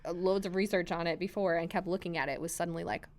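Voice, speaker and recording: A woman hosting a casual chat podcast from a library room, a bit hoarse from a cold, bubbly and quick to giggle. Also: on the low side. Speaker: podcast host.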